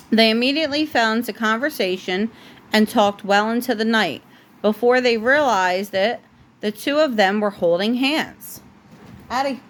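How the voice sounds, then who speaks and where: A woman's voice: a little high, fairly bright tone. A woman reading a storybook aloud as a parent at home, with casual asides and the odd stumble over words. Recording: noisy home recording.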